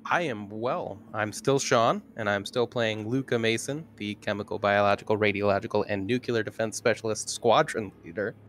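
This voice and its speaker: A man's voice: on the low side, normal speed, hardly any pauses, a bit bright, a little hiss or hum. A man chatting casually and jokily as a tabletop game player. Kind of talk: casual chat.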